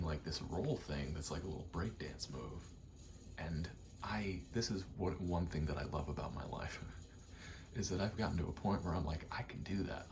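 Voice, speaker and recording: A man rambling casually in a soft ASMR style over video game play, often slipping into a whisper and thinking aloud in short, halting pieces. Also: low-pitched, vocal fry, background noise. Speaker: ASMR creator, gamer; actor and stage combatant.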